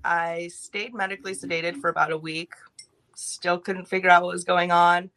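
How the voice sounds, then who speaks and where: A young woman from California, a podcast guest telling her life story in a brisk, matter-of-fact way with light laughs. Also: fairly low pitch, level tone, fairly bright tone, poor audio.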